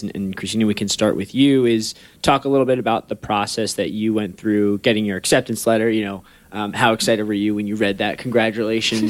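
A man co-hosting a podcast, reading listener mail and asking questions with a chatty, filler-heavy manner. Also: lowish voice. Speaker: podcast co-host.

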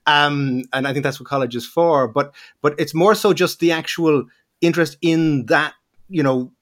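A man's voice: on the high side, moderate pace, a bit bright. A man hosting an interview, hesitant, who often repeats words and restarts his sentences. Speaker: podcast host. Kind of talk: casual interview conversation.

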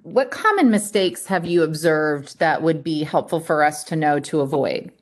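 A woman with a slightly low voice acts as interviewer. She talks conversationally, framing questions and reflections for a workplace discussion. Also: lively intonation, normal speed.

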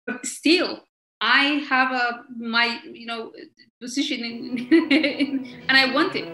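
A woman's voice: a little high, slight background noise. Emphatic woman in an echoey room. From Somalia. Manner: emphatic and animated, halting with many fillers and laughs. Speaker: guest, member of parliament. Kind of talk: conversational interview answers.

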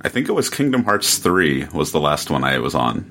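A man speaking as a podcast guest, giving casual opinions in a measured, halting way. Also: extremely low pitch, very animated, vocal fry, a bit bright. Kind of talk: casual review chat.

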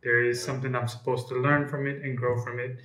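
A man's voice: mid-range voice, little pitch movement, fairly quick, fairly bright tone, slight background noise. A young man from Ethiopia, a guest on an interview podcast, speaks hesitantly with many fillers and restarts. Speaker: guest, comic book publisher. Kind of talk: casual interview. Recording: roomy echo.

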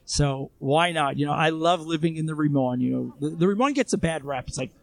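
An enthusiastic American man in his thirties talks as a podcast guest, and his voice sits a little high for a man. He speaks casually and quickly, leaning on "you know" all the time. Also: gravelly, dry recording. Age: 30s. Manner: chatty, enthusiastic, heavy use of "you know". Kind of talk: casual interview chat. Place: outdoors in a residential neighborhood.

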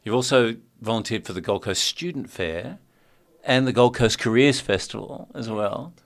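A man's voice: mid-range voice, normal speed, creaky. A man hosting an interview, asking upbeat, leading questions and prompting his guest.

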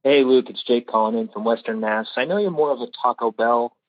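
A man's voice: medium pitch, brisk. A man calling in to a talk show with a jokey, casual question. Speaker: caller. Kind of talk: recorded voicemail question. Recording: dull phone line.

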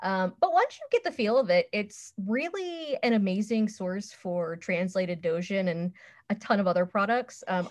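A woman co-hosting a podcast, giving her opinions in casual conversation. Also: lowish voice. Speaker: podcast co-host. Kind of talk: casual review chat.

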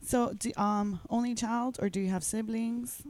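A woman's voice: on the high side, steady tone, measured pace, a little mellow, a little hiss or hum. A woman co-hosting a podcast, chatting casually and asking her guest questions. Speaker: podcast co-host.

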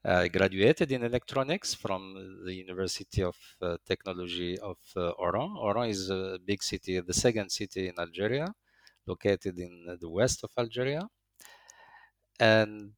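Older man from morocco, lowish voice, stops and restarts a lot, dry close sound. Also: no echo. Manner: slow, halting, many uh fillers and restarts. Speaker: interviewee, engineering professor. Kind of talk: hesitant interview answers.

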